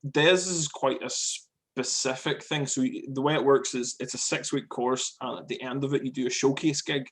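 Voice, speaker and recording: A man's voice: medium pitch, steady tone, normal speed, fairly bright tone. A man from Northern Ireland, a stand-up comic, chatting casually over a video call, rambling with frequent laughs and repeated words.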